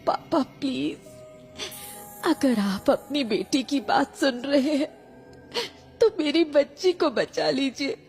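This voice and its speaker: A woman's voice: sits high, expressive, takes it slowly, darkish tone, exaggerated voice. A woman voicing a frightened, desperate mother in a horror cartoon, imploring tearfully and dramatically for her daughter. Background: background music.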